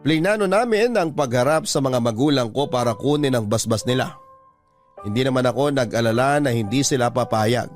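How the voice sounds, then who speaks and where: A man narrating a listener's love story in scripted, classic-radio style with a calm, storytelling delivery. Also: mid-range voice, a little fast. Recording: music bed under voice.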